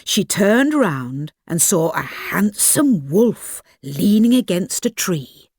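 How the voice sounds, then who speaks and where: A woman narrating a children's fairy tale as an expressive audiobook storyteller. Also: on the low side, slow pace, husky, no echo, clean studio sound.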